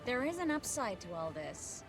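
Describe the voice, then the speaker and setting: High-voiced sardonic sorceress. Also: female voice, very animated, moderate pace, over loud music. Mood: pragmatic. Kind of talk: video game dialogue acting.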